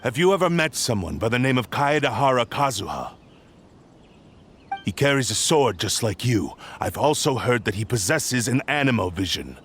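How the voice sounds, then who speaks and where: A haughty wandering swordsman from a video game, with a medium-pitched voice. He is curt and dismissive, yet his intonation moves a lot. Some ambient noise sits behind him. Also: big pitch swings, moderate pace, a bit bright. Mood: probing. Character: brusque, haughty wandering swordsman hunting a rival, cold and dismissive.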